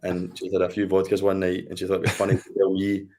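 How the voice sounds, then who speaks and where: A man's voice: sits low, completely flat delivery, quick pace, darkish tone. A Scottish man in his late thirties, chatting casually about films and telling a laid-back family story with easy laughs. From Scotland. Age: late 30s. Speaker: podcast guest. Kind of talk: casual film discussion.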